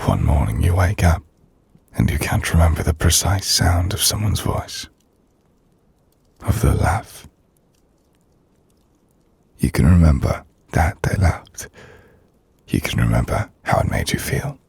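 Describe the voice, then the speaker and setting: A man playing a melancholy, gentle half-vampire nobleman in an intimate ASMR roleplay, speaking in a hushed, breathy, formal and reflective manner. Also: expressive, full character voice. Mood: wistful, reflective. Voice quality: low, gravelly, breathy. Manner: hushed, breathy, slow reflective delivery with formal, measured phrasing. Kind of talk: ASMR audio roleplay, intimate dramatic monologue.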